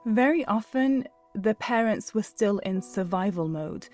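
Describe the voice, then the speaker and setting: A middle-aged woman gives a reflective interview as an expert. Her voice is mellow and sits at an average pitch. There is faint music in the recording. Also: lively intonation, measured pace. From Syria. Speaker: interviewed psychologist.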